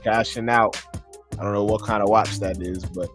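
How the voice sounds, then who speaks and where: A man's voice: fairly low pitch, steady tone, moderate pace, music in the background. A man with a congested nose giving casual, slangy reaction commentary as host of a video.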